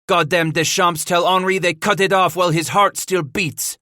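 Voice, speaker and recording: A man voicing a vengeful French frontier fighter in an audiobook, shouting orders in broken English. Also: sits high, fairly even intonation, normal speed, no room sound, clean studio sound, pitched above the performer's own voice. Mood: snarling.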